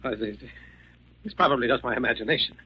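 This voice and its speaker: A man's voice: mid-range voice, fast talker, husky. An actor playing a frightened, haunted businessman, stammering and pleading in a 1940s radio mystery. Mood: terrified. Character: panicky, haunted businessman, fearful for his life. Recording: muffled 1940s radio recording.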